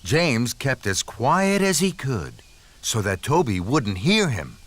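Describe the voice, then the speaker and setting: A man narrating a scripted children's story in an expressive storytelling manner. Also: medium pitch, slow, a little hiss or hum. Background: music.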